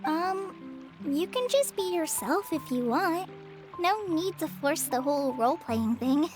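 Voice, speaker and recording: High-voiced, friendly young forest ranger. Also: female voice, lively intonation, a little slow, music in the background. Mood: gently awkward. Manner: casual, considerate. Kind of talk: video game character dialogue.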